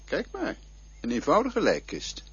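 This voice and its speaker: An actor playing a calm, knowing male figure who leads someone toward death, in a radio drama. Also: on the high side, a little slow, slightly rough, exaggerated voice. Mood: soothing. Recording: dull lo-fi radio play recording.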